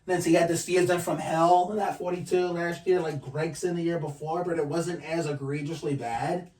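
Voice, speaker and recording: A man's voice: fairly high pitch, darkish tone, recorded from a distance, rough recording. A man ranting casually to his channel, blunt and profane, rambling with frequent restarts. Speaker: YouTuber. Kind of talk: casual vlog rant.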